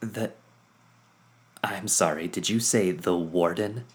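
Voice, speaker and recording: A man voicing a scrappy young woman tinkerer who is excitable and sarcastic. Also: low voice, slow. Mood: flustered.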